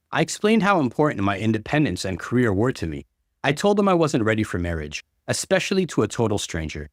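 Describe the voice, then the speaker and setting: A man reading a story as a narrator in an expressive, dramatic way, acting out the dialogue. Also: medium pitch, no echo, clean studio sound. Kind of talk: dramatic scripted narration of online stories.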